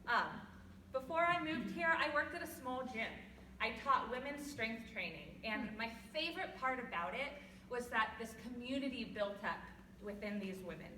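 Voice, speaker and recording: Loud young woman in an echoey hall. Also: fairly high pitch, animated, moderate pace, recorded from a distance, slight background noise. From California. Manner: loud, laughing, self-deprecating. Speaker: guest speaker. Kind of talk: personal talk to an audience. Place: church hall. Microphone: no microphone, far from recorder.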